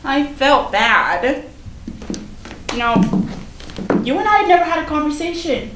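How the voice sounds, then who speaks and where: Woman acting breezy high-pitched teenage girl, echoey. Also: sing-song, unhurried. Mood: defensive, flustered. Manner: chatty, hedging, casual. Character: popular teenage schoolgirl, breezy, defensive, self-excusing. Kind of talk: staged reading of a play, naturalistic dialogue. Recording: echoey room from far off, noisy.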